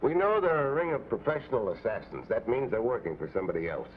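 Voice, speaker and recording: An actor as a terse American agent, tinny recording. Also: male voice, medium pitch, very animated, fast, natural voice. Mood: calm resolve. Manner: terse, hard-boiled. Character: confident, scheming American secret agent. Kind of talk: 1950s TV adventure drama acting. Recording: tinny, noisy old TV soundtrack.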